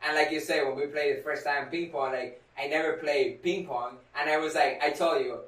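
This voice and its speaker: Bright-voiced young man in an echoey room. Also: a little high, steady tone, a little fast. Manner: loose, filler-heavy speech with non-native grammar. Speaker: podcast co-host. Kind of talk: casual chat. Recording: echoey room, far from mic.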